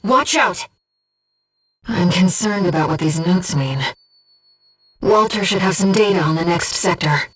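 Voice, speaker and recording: A woman voicing an earnest, gentle guide who speaks inside a pilot's head in a mecha video game, in measured scripted delivery. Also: on the low side, expressive, husky, recorded from a distance. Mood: urgent alarm. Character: soft-spoken, earnest female voice in a pilot's head, loyal guide. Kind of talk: scripted video game voice acting, tactical callouts.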